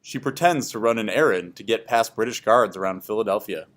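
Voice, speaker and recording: A man's voice: lowish voice, fairly quick, fairly bright tone. A man reading scripted educational history narration aloud for a class.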